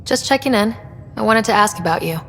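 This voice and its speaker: An actress playing a calm, inquisitive young space expedition leader in a video game, with a creaky voice. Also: female voice, mid-range voice, brisk, slight background noise. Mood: friendly interest. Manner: calm, attentive, brief questions. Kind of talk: video game character dialogue. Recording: hall reverb, faint music behind.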